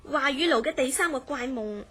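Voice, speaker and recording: An actress with a Hong Kong Cantonese accent playing an earnest woman who recounts a friend's eerie dreams in a radio drama, in measured, serious storytelling. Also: high-pitched, fairly bright tone, husky. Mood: grave recounting.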